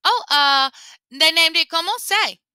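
A woman voicing a sly, boastful male rabbit trickster in a folktale, with a high, lilting, knowing way of talking. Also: lively intonation, a little slow, pushed and bright, dry recording, studio quality, heavily acted.

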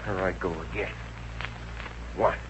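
A man's voice: sits low, little pitch movement, measured pace. A man playing a boastful, easily rattled golfer who blusters in exasperation in an old radio comedy. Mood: strained confidence. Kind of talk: 1950s American radio comedy acting. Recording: dull, hissy 1950s radio recording.

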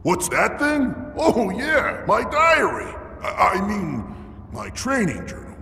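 A man's voice: high voice, wildly swooping pitch, slow pace, gravelly, sharp tone, exaggerated voice. A man playing a boisterous, big-hearted rock-creature warrior in a video game, chummy and blustering, easily embarrassed. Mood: teasing, flustered. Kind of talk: scripted video game character acting. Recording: echoey game playback with long reverb, noisy ambience.

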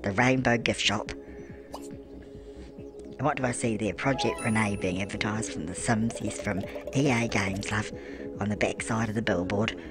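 A man's voice: fairly low pitch, steady tone, a little slow. A man voicing a chatty, doting old grandmother, fussing, cooing endearments and answering her own questions while narrating a life-sim game. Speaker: gameplay narrator. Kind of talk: life-sim gameplay commentary in character. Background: music.

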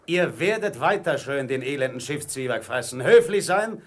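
A man's voice: mid-range voice, lively intonation, moderate pace, close to an everyday voice. A man playing a wily, commanding old pirate ship's cook in a radio play. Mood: menacing, commanding.

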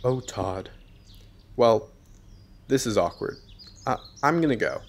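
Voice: medium pitch, lively intonation, slow, slight background noise. A snarky narrator voiced by an actor for a radio play. The delivery is dramatic and exasperated, bickering with the very character being narrated. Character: exasperated, lonely narrator who mocks the man he follows.

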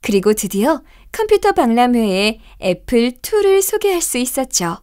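Animated high-voiced woman narrating a children's story. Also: normal speed. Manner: expressive, gentle storytelling endings. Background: music.

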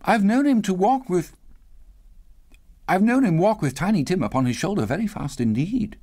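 A man voicing a gentle, weary mother who speaks tenderly and bravely through grief in a storybook reading. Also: mid-range voice, big pitch swings, moderate pace, creaky, no room sound, clean studio sound, voice pushed up. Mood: brave, steady.

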